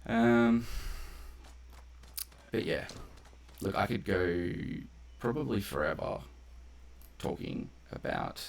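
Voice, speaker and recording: A man with a very deep, creaky voice gives casual gameplay commentary. He talks slowly, in a rambling, conversational streamer's way. There is a faint noise in the recording. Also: expressive. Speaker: game streamer.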